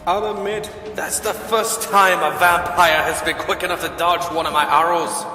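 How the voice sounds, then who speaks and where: A man's voice: high-pitched, fairly even intonation, moderate pace, pushed and bright. Echoing, cocky man playing an archer. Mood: smug, taunting. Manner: taunting, projected. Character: cocky male human archer who hunts vampires, scornful. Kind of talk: audio drama acting. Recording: distant, echoing reverb, faint music.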